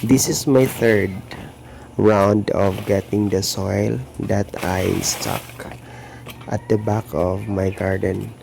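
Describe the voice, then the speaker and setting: Filipino guy talking slow in his garden, low flat voice, faint outdoor noise behind. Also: gravelly. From Philippines. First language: Tagalog or Filipino. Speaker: gardening vlogger. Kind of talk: narrated gardening vlog. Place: home garden in the province.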